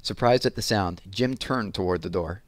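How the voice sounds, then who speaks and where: A man's voice: sits low, normal speed, no room sound. A man reading a children's story aloud as a storyteller.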